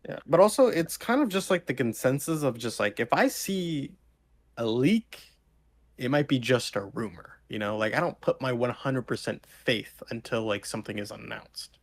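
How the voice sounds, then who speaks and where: A man's voice: mid-range voice, lively intonation, normal speed. A man talking casually as a panelist on a podcast. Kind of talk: casual chat.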